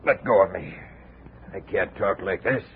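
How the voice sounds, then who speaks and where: An American man playing a cagey, protesting barman with rough, slangy talk in a 1950s radio drama. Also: low voice, moderate pace. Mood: indignant, alarmed. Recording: tinny, noisy old radio recording.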